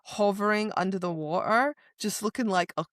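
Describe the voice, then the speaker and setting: A woman co-hosting a podcast, excitedly retelling book scenes in slangy casual chat with dramatic quoting. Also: mid-range voice, moderate pace, no echo, clean studio sound. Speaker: podcast co-host.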